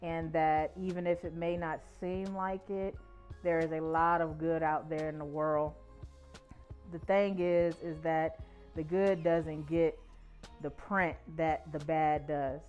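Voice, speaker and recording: A woman's voice: low-pitched, fairly even intonation, takes it slowly, darkish tone, smooth, highs cut off, soft background music. A woman in her forties hosting a podcast, speaking in an earnest, uplifting way. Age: 40s. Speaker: podcast host. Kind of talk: podcast introduction and conversation.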